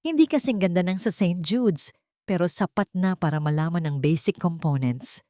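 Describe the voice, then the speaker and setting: A woman's voice: lowish voice, lively intonation, normal speed, warm tone, no echo, lightly acted. A woman narrator acts out a woman pharmacist in an audio drama. She is a loyal friend, concerned and practical in how she talks. The recording is dry.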